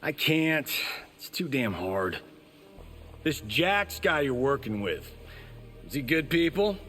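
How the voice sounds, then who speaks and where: An actor playing a brash movie-star fighter in a video game, casual and showy. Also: male voice, mid-range voice, sing-song, slow, a bit bright, faint music behind. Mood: casual, rueful.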